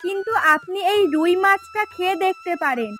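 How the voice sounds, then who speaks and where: A woman's voice: extremely high pitch, lively intonation, brisk, pushed and bright, soft background music, full character voice. A woman voicing a chatty, eager village fishwife with a coaxing, folksy manner in a fairy tale cartoon. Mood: coaxing, friendly.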